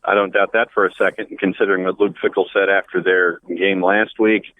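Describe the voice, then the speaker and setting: A man's voice: low-pitched, flat delivery, fairly quick, no echo. A man chatting casually about sports and giving his predictions over a phone call. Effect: phone line. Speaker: sports commentator on the show. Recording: dull phone call with faint noise.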